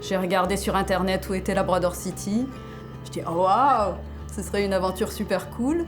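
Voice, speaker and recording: A woman's voice: medium pitch, moderate pace. A woman telling her own story casually in a testimonial interview. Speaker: immigrant. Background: music bed.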